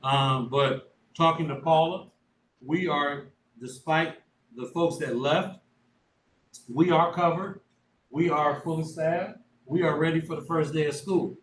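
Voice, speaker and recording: A man's voice: fairly high pitch, fairly even intonation, measured pace, a bit bright. Upbeat folksy man in an echoey room. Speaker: school superintendent. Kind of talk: board meeting remarks. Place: meeting room. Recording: echoey room, distant mic, faint noise.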